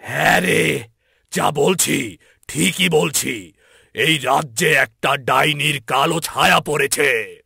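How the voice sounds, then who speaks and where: Slow, foreboding holy man acted by a man. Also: mid-range voice, gravelly, pushed and bright, breathy edge, no echo, clean studio sound, exaggerated voice. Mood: grave, commanding. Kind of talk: animated fairy-tale cartoon dialogue.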